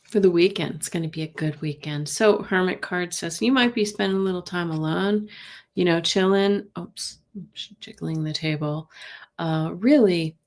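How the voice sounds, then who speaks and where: A soft-spoken woman reading tarot cards on a live stream, gently thinking aloud and answering viewers by name. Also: on the low side, moderate pace.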